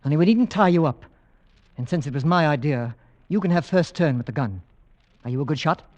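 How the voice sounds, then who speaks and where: An actor playing a decisive husband who plans a risky hunt, brisk and commanding, in an old radio drama. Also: male voice, fairly high pitch, animated, a little mellow, close to an everyday voice. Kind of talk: 1940s radio acting. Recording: dull old radio recording.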